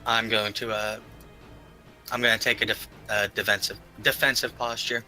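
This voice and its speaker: A man's voice: fairly low pitch, little pitch movement, normal speed, sharp tone, soft background music, rough recording. A man playing a tabletop roleplaying game, chatting casually at the game as he works through moves and rules.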